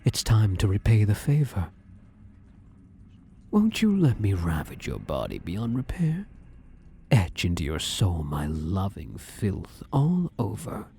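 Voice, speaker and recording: A possessive shadow creature in male form, acted by a man, speaks in a low, dark murmur that rises and dips freely. He is domineering and obsessively devoted, coaxing and commanding up close in an intimate ASMR roleplay. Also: wildly swooping pitch, slow, a little hiss or hum, full character voice. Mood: yearning, domineering. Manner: intimate, coaxing, commanding, slipping into breathy whispers.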